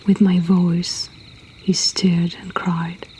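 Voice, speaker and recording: Whispering woman, slow and low. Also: level tone, mellow, a little hiss or hum. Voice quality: breathy, whispered. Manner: slow, deliberate, with long pauses. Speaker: host of a poetry reading. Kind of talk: whispered, intimate ASMR-like poetry reading by a storyteller.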